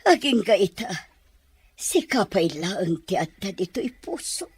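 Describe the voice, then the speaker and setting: A woman's voice: medium pitch, very animated, slightly rough, heavily acted. A woman with a Filipino accent playing a lovesick, imperious middle-aged madam in an Ilocano audio drama, with melodramatic delivery. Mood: pleading. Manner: melodramatic, drawn-out. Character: lovesick, imperious older madam, theatrical and wounded.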